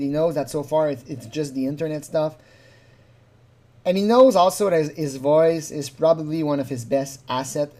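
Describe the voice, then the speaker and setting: A man's voice: a little high, warm tone. Rambling man giving informal commentary. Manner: rambling, animatedly acts out quotes. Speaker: commentator analysing a recorded phone call.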